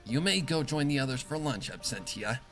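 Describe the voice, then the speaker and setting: A man voicing a calm, commanding female pegasus factory boss in a dark story, speaking formally and with measured authority. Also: mid-range voice, faint music behind, voice pushed up. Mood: calm, authoritative. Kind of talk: dramatic fan fiction reading.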